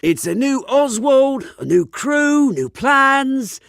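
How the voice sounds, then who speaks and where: Gravelly British crime lord, wildly swinging pitch. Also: male voice, big pitch swings, slow, a bit bright, no echo, studio quality, full character voice. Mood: charming, warning. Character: bitter, menacing British crime-lord revolutionary, faded rich heir. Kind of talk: dramatic video game acting.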